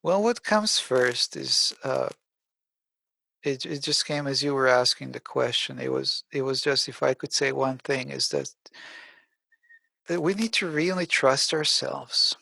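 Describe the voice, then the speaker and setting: A man hosting a casual conversational interview, supportive and somewhat halting, often repeating words as he goes. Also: medium pitch, gravelly, pushed and bright, no room sound. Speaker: podcast host.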